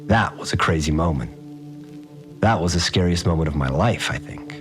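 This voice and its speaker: Deep rattled survivor dude, slangy sweary audio diary, rambling and animated, music behind, podcast drama. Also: male voice, normal speed. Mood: scared, awed. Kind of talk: casual confessional audio-diary monologue.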